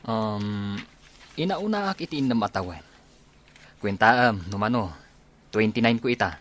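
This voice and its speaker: A man's voice: on the low side, animated, measured pace, fairly bright tone. A man playing a mild, homesick soldier in his twenties with an Ilocano accent in a radio drama. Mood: wistful. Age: 20s.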